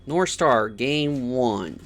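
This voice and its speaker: A man's voice: medium pitch, expressive, very slow, fairly bright tone, faint music behind. Man muttering through gameplay commentary. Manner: mutters and repeats words while puzzling. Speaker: game streamer. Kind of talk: casual gameplay commentary, thinking aloud.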